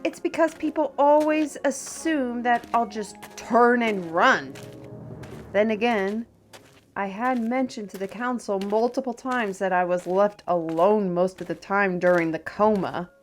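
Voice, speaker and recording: Casting: actress for feisty female artist, fantasy roleplay audio, unhurried and expressive, proud and easily indignant, faint music behind. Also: mid-range voice, close to an everyday voice. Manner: theatrical, expressive, exclamatory.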